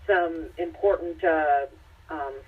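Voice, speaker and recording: An American woman moderating a conference call, giving formal opening remarks in a halting, measured way over the phone. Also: sits low, fairly even intonation, breathy edge. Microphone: telephone conference call. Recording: tinny, muffled distant phone line.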